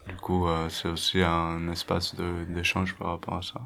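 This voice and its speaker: A young man from Brazil with a Brazilian accent, a circus acrobat answering interview questions calmly and a little hesitantly. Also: deep voice, moderate pace, vocal fry. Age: young adult. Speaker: acrobatic base, circus performer.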